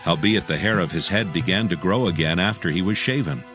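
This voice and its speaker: A man's voice: low-pitched, fairly quick, music in the background. A man narrating scripted scripture as the storyteller of a dramatized Bible audio production.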